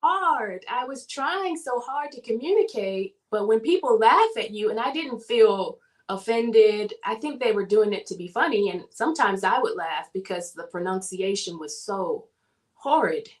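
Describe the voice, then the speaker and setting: A woman from the southern United States, a guest in a casual interview, telling her story in an animated way and laughing often. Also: mid-range voice, normal speed.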